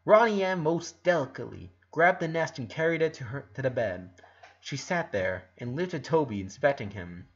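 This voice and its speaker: A man reading a story aloud as an expressive narrator. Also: mid-range voice, normal speed, dull audio. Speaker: fan fiction narrator.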